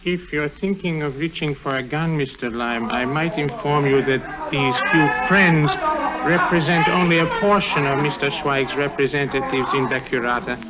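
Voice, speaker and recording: An actor playing a coolly menacing bank teller and henchman in an old radio drama, formal and measured. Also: a little high, lightly acted, poor audio. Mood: quietly threatening. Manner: formal, measured, quietly threatening. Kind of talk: 1950s radio drama acting. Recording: old 1950s radio recording.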